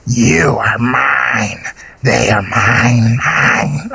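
A man voicing a hunched, rotten male monster who wheezes and whispers with slow gloating menace. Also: mid-range voice, gravelly, bright, forceful tone, husky, no echo, full character voice. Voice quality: whispery, drawn-out hissing.

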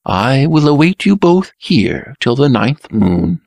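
Man voicing a dreamy, higher-pitched young prince. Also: big pitch swings, takes it slowly, gravelly, no room sound, exaggerated voice. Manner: abstracted, gentle archaic speech. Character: mild, dreamy, cheerful young Blue Prince.

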